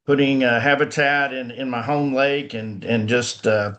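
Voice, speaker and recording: A man's voice: mid-range voice, fairly even intonation, a little slow, a bit bright. A man from Northwest Arkansas, a company founder giving a folksy conference talk over a video call, full of fillers and restarts.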